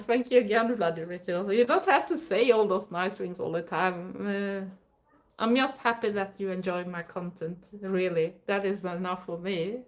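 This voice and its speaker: A woman's voice: medium pitch, normal speed. Swedish woman, second-language English, livestream host; chatty and rambling, easy laughter. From Sweden. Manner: chatty, rambling, with frequent fillers and soft laughs. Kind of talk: casual live-stream chat reading viewer comments.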